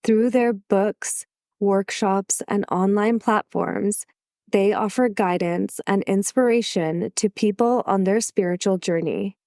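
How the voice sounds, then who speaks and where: A woman hosting a solo podcast who is a little sick, reading her script in a calm, measured way. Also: mid-range voice, dry recording, clean studio sound. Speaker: podcast host.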